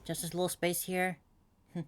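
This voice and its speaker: A woman's voice: on the low side, completely flat delivery, moderate pace, slight background noise. A woman giving casual game playthrough commentary, musing to herself in murmured reactions. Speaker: game streamer. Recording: clear game music behind voice. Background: game music.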